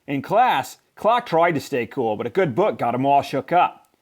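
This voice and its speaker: A man reading a children's picture book aloud in expressive narration, with emphatic, deliberate phrasing. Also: medium pitch. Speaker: storybook reader.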